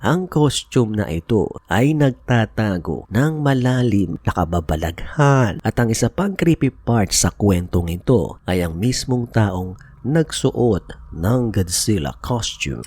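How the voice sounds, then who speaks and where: Low, animated Filipino male narrator. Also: slight background noise. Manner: storytelling, switches between Tagalog and English phrases. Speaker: YouTube narrator. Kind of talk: scripted horror explainer narration.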